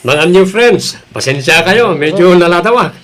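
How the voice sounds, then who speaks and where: A man's voice: sits high, animated, moderate pace, noisy background. A man playing a genial, joking co-worker in an audio drama.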